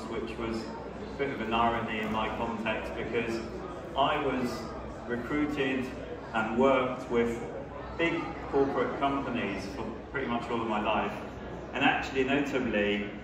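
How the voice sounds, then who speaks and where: Man, thirties, seminar speaker, chatty asides, exhibition hall, strong echo. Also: mid-range voice. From France. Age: 30s. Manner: chatty, joking asides to the audience. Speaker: seminar speaker, company founder. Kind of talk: seminar presentation. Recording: echoey hall, speaker far from mic.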